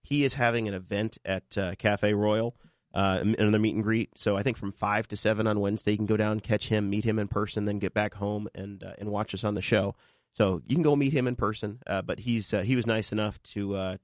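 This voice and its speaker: A man's voice: fairly low pitch, steady tone, a little fast, no echo, clean studio sound. A man hosting a local podcast in casual chat, talking through a cold and rambling with frequent restarts. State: fighting a cold. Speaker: podcast host.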